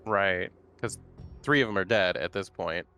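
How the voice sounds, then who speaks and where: A man's voice: sits low, a little slow, sharp tone, soft background music. A man talking casually as a player in a tabletop roleplaying game.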